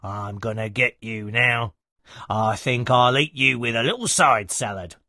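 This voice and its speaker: A man voicing a grumpy, hungry male troll in a storybook, loud and growling. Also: medium pitch, measured pace, dry recording, studio quality. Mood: grumpy menacing. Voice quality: growling, grumbling.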